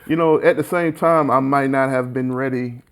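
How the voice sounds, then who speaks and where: A man's voice: medium pitch, fairly even intonation, normal speed. A man with a Southern American accent chats casually as an interview guest, in an informal, slangy way full of "like" and "you know.". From small town in Alabama, USA. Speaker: guest, recording engineer and mixer.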